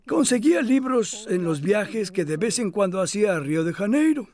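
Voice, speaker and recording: A male voice actor dubbing an elderly, modest philosophy professor who reminisces in a measured, hesitant way in an interview. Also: high voice, sing-song, a little mellow. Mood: reflective, reminiscing. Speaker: dubbed interviewee. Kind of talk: voice-over dub of a documentary interview.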